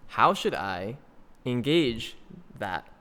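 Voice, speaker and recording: A man co-hosting a casual podcast, quick with a joke and earnest when he explains his view. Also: mid-range voice, sing-song, a bit bright, in a large hall. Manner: joking banter, then earnest explaining. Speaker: podcast co-host.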